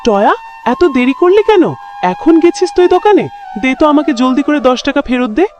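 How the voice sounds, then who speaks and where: A woman's voice: high-pitched, lively intonation, moderate pace, a little mellow. A woman voicing a caring but nagging, exasperated village mother in a cartoon. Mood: angry, scolding. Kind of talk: cartoon voice acting. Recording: music behind the voice.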